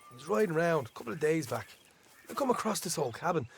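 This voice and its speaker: An actor playing a chatty, roguish young Irish outlaw in a Western video game, teasing and folksy, with an Irish accent. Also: male voice, fairly high pitch, very animated, fast talker, gravelly, slight background noise. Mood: boastful, chatty. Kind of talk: video game cutscene acting.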